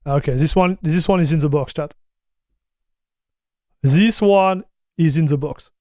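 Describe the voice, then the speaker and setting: A man's voice: a little high, a little slow, mellow, dry recording, studio quality. A man, chatting casually with his live-stream viewers, laughing often. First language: French. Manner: chatty, laughs often, talks straight to chat. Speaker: streamer reacting to tech news.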